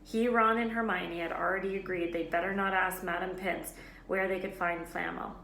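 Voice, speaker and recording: A woman reading a children's novel aloud as an expressive storyteller. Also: on the low side, moderate pace, vocal fry. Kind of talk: expressive scripted children's book narration. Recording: echoey room with faint noise.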